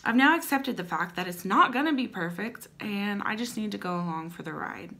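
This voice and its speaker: A woman's voice: mid-range voice, expressive, a little fast, sharp tone. A young adult woman hosting a comedic dramatic reading with chatty asides, in a playful, wry manner with theatrical emphasis. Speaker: host. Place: small indoor room at home.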